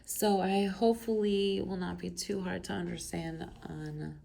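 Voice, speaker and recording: Woman tarot reader talking to camera, stuffy head cold, some vocal fry, chatty and rambling. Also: fairly low pitch, steady tone, unhurried, warm tone. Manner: chatty, rambling asides with many fillers. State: has a cold, stuffed up and coughing. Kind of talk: casual pick-a-pile tarot reading to camera. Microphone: camera mic.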